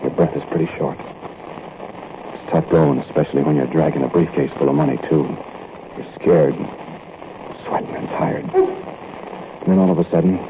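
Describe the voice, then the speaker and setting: An actor playing a nervous thief who narrates a night break-in in first person, in a 1940s radio drama. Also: male voice, extremely low pitch, fairly even intonation, moderate pace, long pauses. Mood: uneasy. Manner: tense, confiding first-person storytelling. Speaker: narrator and lead character. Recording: tinny, noisy old radio recording.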